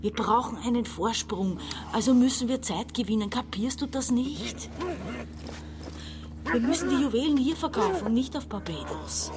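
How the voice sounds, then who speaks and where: An actress playing an intense, resentful and bossy sister of about forty in a radio drama. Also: female voice, a little high, expressive, moderate pace, noisy background, close to an everyday voice. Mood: impatient, placating. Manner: intense, impatient.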